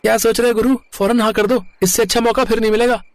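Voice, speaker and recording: A man's voice: very high voice, flat delivery, fast talker. A man voicing a cheeky, eager truck helper with a coaxing, slangy way of talking in a moral story cartoon. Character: cheeky, hungry young male truck helper, eager sidekick. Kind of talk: animated moral story dialogue.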